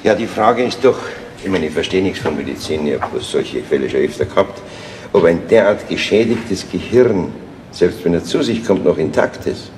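An actor playing a dry, probing Bavarian police inspector in a 1970s radio crime play, questioning in a measured way. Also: male voice, deep voice, lively intonation, darkish tone. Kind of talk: 1970s German radio crime drama acting. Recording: faintly noisy vintage radio play recording.

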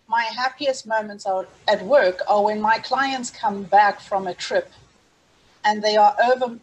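A woman's voice: medium pitch, slightly rough, poor audio. A middle-aged woman with a South African accent, presenting a webinar over a video call in an earnest, scripted way with small restarts. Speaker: safari travel specialist hosting a webinar.

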